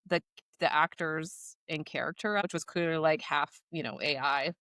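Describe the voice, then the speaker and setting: A woman's voice: low voice, steady tone, moderate pace, sharp tone, dry recording, studio quality. An American woman co-hosting a podcast in casual chat, loosely hedging and often saying "like". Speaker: podcast co-host.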